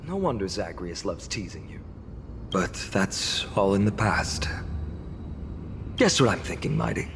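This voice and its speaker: A man's voice: low voice, big pitch swings, unhurried, vocal fry, pauses often, big-room sound, noisy background, heavily acted. An actor playing a cheerful, competitive young male warrior in a video game, playful and theatrically confident. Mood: wry. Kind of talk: scripted video game voice acting.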